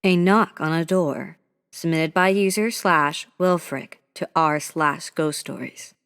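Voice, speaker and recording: A narrator reading a scary story aloud in a steady, measured way. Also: mid-range voice, clean tone. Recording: voice with long hall reverb.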